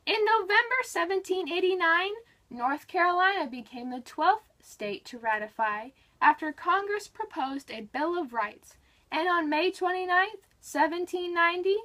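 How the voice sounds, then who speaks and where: A woman giving an expressive, emphatic read-aloud of a scripted history book, with deliberate phrasing. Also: very high-pitched. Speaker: reader of a nonfiction history book.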